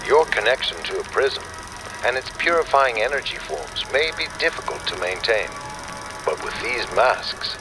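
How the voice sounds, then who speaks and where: An actor playing a serene, grandiose cult founder giving a scripted, salesmanlike address in a game. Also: male voice, mid-range voice, sing-song, normal speed, close to an everyday voice, poor audio. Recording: music behind the voice. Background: music.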